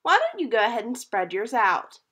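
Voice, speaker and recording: Storybook mom, patient and gently teasing, lively voice, read by a woman. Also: fairly high pitch, moderate pace, breathy edge, heavily acted. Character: patient, playful mother figure, adult woman.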